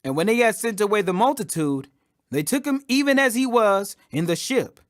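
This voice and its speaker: A man's voice: high-pitched, expressive, normal speed, dry recording, studio quality. A man reading scripture aloud as a scripted audiobook, with an emphatic, declamatory delivery. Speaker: Bible reader.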